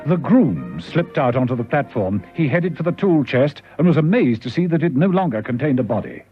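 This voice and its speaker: A man as the narrator of a spy thriller radio serial, telling the story in a measured, dramatic way. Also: medium pitch, big pitch swings, husky. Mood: suspenseful storytelling. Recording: dull old radio recording with music.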